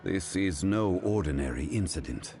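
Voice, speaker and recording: Deep, dignified, scholarly gentleman. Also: expressive, music in the background. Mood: grave. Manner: formal, measured, deliberate phrasing. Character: composed, dignified, scholarly gentleman, grave and wise. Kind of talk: video game voice acting.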